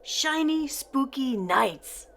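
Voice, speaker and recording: Bright-voiced older woman reading a storybook, lively. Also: high voice, creaky. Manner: slow, deliberate, animated delivery for children. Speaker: storyteller reading a children's picture book aloud. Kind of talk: expressive scripted read-aloud narration.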